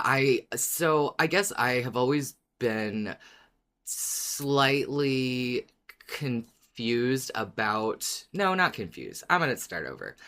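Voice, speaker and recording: A podcast co-host with a medium-pitched voice in casual fan conversation. The delivery is animated and giggly, with self-corrections as the story gets told.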